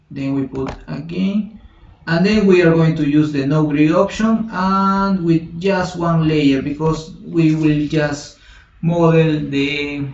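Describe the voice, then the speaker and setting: Slow, halting man with gravelly voice. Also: fairly high pitch, darkish tone. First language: Spanish. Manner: halting, thinks aloud, many restarts and self-corrections. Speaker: software tutorial instructor. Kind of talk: screen-recorded software tutorial. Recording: roomy, distant, dull lo-fi home recording.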